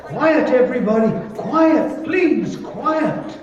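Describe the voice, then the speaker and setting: A man's voice: very high voice, expressive, slow pace, heavily acted. A man playing a small, showy party host in an old-time radio drama, grandly making an announcement. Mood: grandiose. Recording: muffled, distant, echoey hall, crowd behind.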